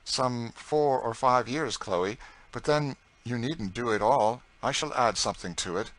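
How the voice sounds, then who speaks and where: A man voicing a kindly, composed mistress of a household in an audiobook, speaking in a proper, measured manner. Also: medium pitch, a bit bright, pitched above the performer's own voice, close to an everyday voice, low-quality recording. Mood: reassuring. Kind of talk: audiobook character dialogue.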